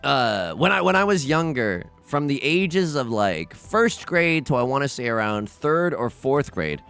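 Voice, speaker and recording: Animated man bantering over a tile game. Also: mid-range voice, measured pace, smooth, soft background music. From United States. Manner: playful, self-mocking banter; rambling, thinks aloud with restarts. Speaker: let's play host. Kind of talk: casual gameplay commentary.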